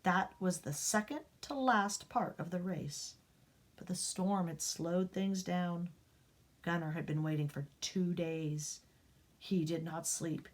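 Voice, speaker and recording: A woman reading a children's picture book aloud, expressive, rising up and dropping to a hush for the dramatic parts. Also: on the low side, slow. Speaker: storybook reader.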